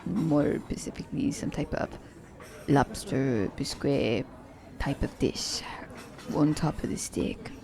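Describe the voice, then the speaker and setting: Casting: actress as confident female assassin for ASMR romance, deep and unhurried, halting, teasing delivery. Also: animated, creaky, a crowd in the background, full character voice. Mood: teasing, relaxed. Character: confident, self-declared selfish young woman assassin, teasing her captive. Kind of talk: ASMR romance roleplay acting.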